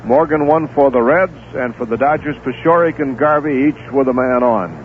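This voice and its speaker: A man reading a promotion and the score recap in a brisk, polished announcer's manner on a tinny old radio recording. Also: medium pitch, slight background noise. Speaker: broadcast announcer. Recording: tinny lo-fi 1970s radio broadcast.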